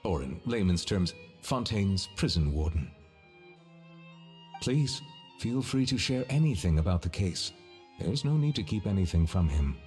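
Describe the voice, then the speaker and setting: An actor playing a courteous, measured chief judge in a game, speaking with precise formality. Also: male voice, low voice, sing-song, a little mellow. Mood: composed. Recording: reverberant hall, music behind.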